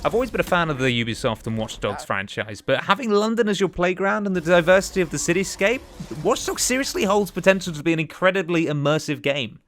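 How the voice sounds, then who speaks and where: A man's voice: high-pitched, lively intonation, quick pace, few pauses, a bit bright, slight background noise. A man narrating a scripted video game countdown as a reviewer, with an emphatic presenter's delivery. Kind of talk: scripted narration of a top five games list.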